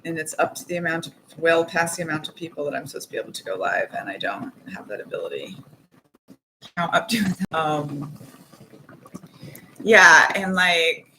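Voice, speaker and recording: A woman's voice: low voice, sing-song, moderate pace, bright, forceful tone, slight background noise, rough recording. A young woman chatting casually on a livestream while knitting, rambling and laughing often. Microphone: phone mic with earbuds.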